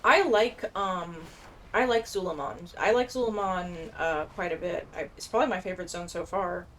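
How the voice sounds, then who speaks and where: A woman's voice: lowish voice, normal speed, a bit bright, a little hiss or hum. A woman giving casual, blunt commentary as she plays a game and reads dialogue aloud. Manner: informal, blunt. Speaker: gamer narrating a playthrough.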